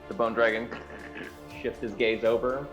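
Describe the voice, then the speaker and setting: A man's voice: mid-range voice, fairly even intonation, moderate pace, muffled recording. A man chatting casually and slangily as a player in a tabletop game. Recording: roomy echo, music behind.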